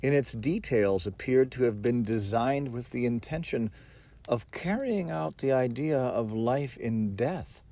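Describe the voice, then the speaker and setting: A man narrating a first-person gothic horror story in a measured, theatrical, expressive manner. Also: mid-range voice. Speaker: audiobook narrator. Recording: music under the voice. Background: music.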